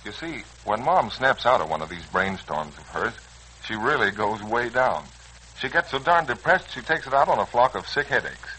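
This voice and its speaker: An actor with an American accent playing an earnest, slangy, hot-headed young son in a 1940s radio serial. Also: male voice, mid-range voice, big pitch swings, normal speed, sharp tone, heavily acted, rough recording. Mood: wry, chatty. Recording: old, rough radio recording.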